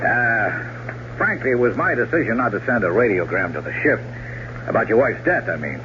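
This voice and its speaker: A folksy police lieutenant with a lowish voice and lively, swinging intonation. He is tactful and hesitant yet keeps probing, in 1970s radio-drama style on a muffled old broadcast. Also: a little fast, a little hiss or hum. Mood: sympathetic, awkward.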